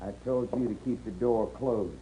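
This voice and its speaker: An actor playing a gruff, suspicious horse thief on the run in a 1950s radio western, curt, with a rural American accent. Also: male voice, on the low side, moderate pace, mellow, lightly acted. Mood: irritated. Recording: noisy, muffled 1950s radio recording.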